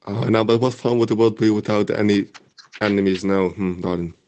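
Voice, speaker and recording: A man acts out a cheerful young wizard with a fairly low voice. He is breezy and affectionate, with old-fashioned gentlemanly flourishes suited to an adventurous audio drama. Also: flat delivery, fairly quick, natural voice. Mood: jaunty, amused. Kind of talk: fan audio drama acting.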